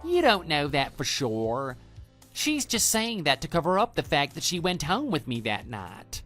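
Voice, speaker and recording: A man's voice: fairly high pitch, wildly swooping pitch, a little slow, bright, forceful tone, full character voice. A man voicing a cocky, immature love rival with a brash, pushy manner in a scripted online radio drama. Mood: defensive, incredulous. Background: background music.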